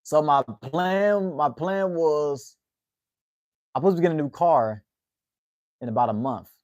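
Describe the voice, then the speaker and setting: A man's voice: on the high side, a little slow, darkish tone, no echo, studio quality. A man in his twenties chatting casually with viewers on a livestream, in a laid-back way, tacking "man" onto the ends of his phrases. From United States. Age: 20s. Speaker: livestream host.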